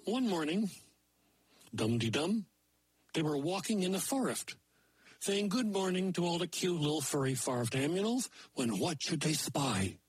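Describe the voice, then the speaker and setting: A folksy moose spins a fairy tale in playful broken grammar, coining silly words as he goes, as a man puts him on. The voice sits fairly high and a little husky. The sound is dry and close. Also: no room sound, full character voice. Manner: playful, invents silly word forms. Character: folksy male moose storyteller, mangles words. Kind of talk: oral folktale telling.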